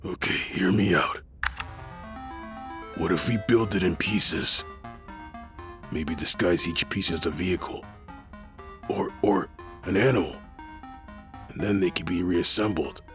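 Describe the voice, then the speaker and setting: A man playing a weary, stubborn archbishop in an audio drama, speaking in a dry, deliberate way with a gravelly, husky voice. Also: deep voice, a bit bright, soft background music. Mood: hesitant pitching. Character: weary, stubborn male archbishop leading a secret mech project.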